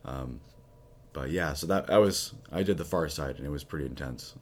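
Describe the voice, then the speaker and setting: A man with a very low voice, hoarse and raspy. He talks at an easy, rambling pace as a priest chatting on his podcast. His speech is full of casual fillers. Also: normal speed. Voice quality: low, husky. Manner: relaxed, rambling with many fillers. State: raspy, recovering voice. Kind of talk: casual chat.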